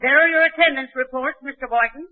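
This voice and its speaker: An actress playing a droll, gossipy school colleague in a 1940s radio comedy. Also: female voice, high voice, fast, no echo, natural voice. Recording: dry, muffled 1940s radio recording.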